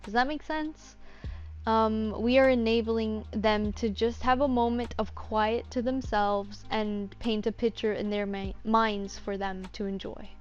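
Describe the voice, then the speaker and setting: Woman, slightly high voice, informal how-to talk, lo-fi muffled sound. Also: steady tone, a little slow, faint music behind. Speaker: voice actor giving a tutorial. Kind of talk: casual unscripted tutorial talk.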